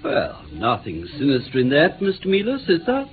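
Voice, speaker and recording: Oily, high-pitched middle-aged villain. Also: male voice, wildly swooping pitch, normal speed. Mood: oily, sinister. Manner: oily, coaxing, repetitive politeness. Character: middle-aged male villain, unctuous, falsely genial, sinister. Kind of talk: mid-20th-century British radio play acting. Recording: tinny, slightly noisy old radio recording.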